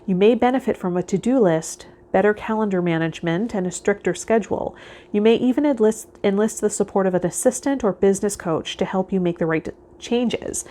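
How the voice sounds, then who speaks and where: A woman hosting a tarot vlog, speaking in a measured, instructive way straight to the listener. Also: medium pitch, warm tone. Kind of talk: reading tarot card meanings aloud, then casual guided talk to viewers.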